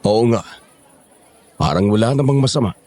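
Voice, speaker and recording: A man's voice: lowish voice, animated, normal speed, warm tone. A man acting a timid, anxious Filipino companion. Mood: uneasy. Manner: timid, uneasy. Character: anxious, peace-making male companion at a fiesta. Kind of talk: Tagalog audio drama acting.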